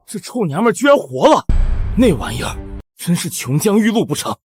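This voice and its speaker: A man's voice: high-pitched, big pitch swings, a little fast, background noise. An actor playing a crude, impatient henchman who talks coarsely. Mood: rude. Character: crude thuggish male henchman.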